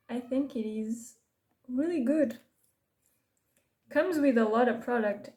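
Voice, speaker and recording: A woman's voice: on the high side, mellow. A young Portuguese woman with a Portuguese accent, for whom English is a second language, chatting casually in a makeup vlog with rambling asides and self-corrections. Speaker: beauty vlogger. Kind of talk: chatty get-ready-with-me makeup talk.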